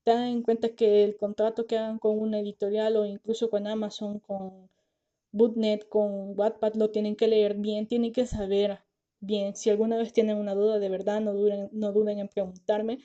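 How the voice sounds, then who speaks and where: A woman's voice: medium pitch, level tone, normal speed, warm tone, highs cut off. A woman podcast host, a lawyer and author, talking casually and earnestly to her listeners; she is tired, finds it hard to talk and can't pronounce her R well. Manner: earnest, reassuring, speaks directly to listeners.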